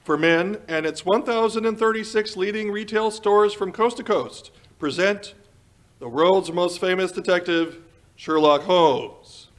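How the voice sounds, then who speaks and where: An actor playing an eager 1940s radio announcer and sponsor pitchman, polished and emphatic in his delivery. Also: unhurried. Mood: showy, welcoming. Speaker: announcer and host.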